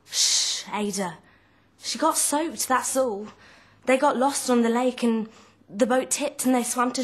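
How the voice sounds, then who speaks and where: A woman playing an anxious young hotel serving girl, reading a novel as first-person drama in a breathy voice. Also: a little high, heavily acted.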